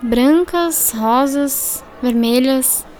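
A woman's voice: sits high, drawn-out words, a little mellow. A woman playing a curious, bossy schoolgirl in a scripted radio drama. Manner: inquisitive, scolding, colloquial.

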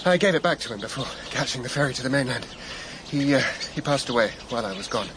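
A man's voice: medium pitch, expressive, lightly acted. Male actor for conscientious man in his thirties, a recovering scientist, unsure and questioning. Radio drama, noticeable background noise. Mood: puzzled. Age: 30s. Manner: halting, questioning. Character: earnest thirty-eight-year-old geophysicist, a recovering patient, conscientious. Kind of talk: naturalistic radio drama acting.

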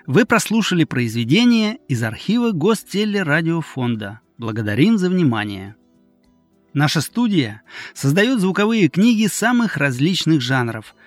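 A man's voice: a little high, dry recording. A man delivers a scripted studio announcement with very varied intonation. The sound is dry and close, with faint music underneath.

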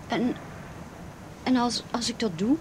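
A woman's voice: on the high side, slow pace. A woman playing a frightened, wary teenage girl in a radio drama, speaking in a hesitant, faltering way. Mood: anxious, doubtful. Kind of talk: naturalistic radio drama acting. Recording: noisy old radio drama recording.

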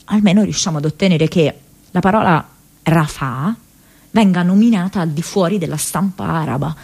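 A woman playing an earnest young activist in a radio play, speaking a letter home to her mother haltingly, searching for words. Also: mid-range voice. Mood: anguished wonder. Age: young adult. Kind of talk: dramatic monologue in radio theatre, reading a diary letter.

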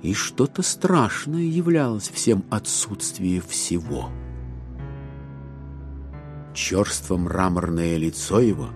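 A man narrating a literary audio drama in an expressive, theatrical and wry manner. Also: deep voice, slow, long pauses, soft background music.

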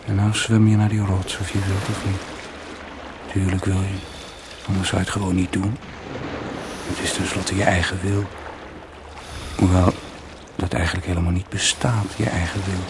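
A man's voice: low-pitched, little pitch movement, normal speed, pauses often, lightly acted. An actor playing a stubborn swimmer alone at sea in a radio play, philosophising and mocking himself as he reasons his way onward. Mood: self-persuading, musing. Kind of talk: radio play inner monologue. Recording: very noisy old radio play recording.

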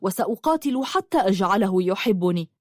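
A woman voicing a young man who poses as a magician in a storybook, serious, measured and kindly. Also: medium pitch, expressive, no echo, studio quality, lightly acted. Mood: solemn, gentle. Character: young man posing as a solemn, gentle magician.

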